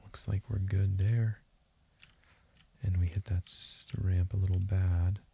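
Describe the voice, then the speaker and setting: A man giving calm, soothing game commentary in a hushed, deliberate voice that drifts toward a whisper, as if for sleep. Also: deep voice, creaky. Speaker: gaming video host. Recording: faint hiss with keyboard and mouse clicks. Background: keyboard typing and mouse clicking.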